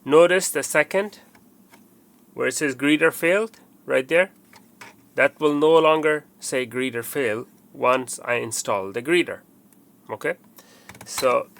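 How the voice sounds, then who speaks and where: A man with a mid-pitched, gravelly voice talks through a hardware installation. He explains each step patiently, circling back and repeating himself with small fillers as he works on the equipment. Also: a little slow, pauses often, a bit bright. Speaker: tutorial presenter. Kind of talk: hands-on product installation tutorial.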